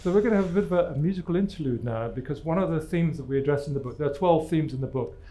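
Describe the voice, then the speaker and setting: A man's voice: a little high, very fast, dark tone, slight background noise. A man from Luton in England, the author and host of a live book talk, asking his guests questions and introducing the segments in a thoughtful way that laughs easily.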